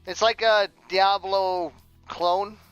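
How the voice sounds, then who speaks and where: A man's voice: high-pitched, fairly even intonation, slow pace, fairly bright tone, clean tone, no echo. A man chatting casually as a livestreamer, rambling and often repeating words mid-sentence. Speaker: streamer and audio drama writer. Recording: dull lo-fi sound, music behind. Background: music playing.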